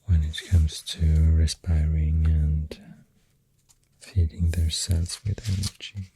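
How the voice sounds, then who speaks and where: A Swedish-accented ASMR artist speaks very low and softly, often slipping into a breathy whisper. The delivery is slow and hesitant, with a dark, mellow tone, recorded close to the microphone. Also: level tone, slight background noise. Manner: hushed, hesitant, gentle rambling delivery drifting into whispers. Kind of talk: soft-spoken ASMR, rambling facts while drawing. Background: pencil writing and clicking sounds.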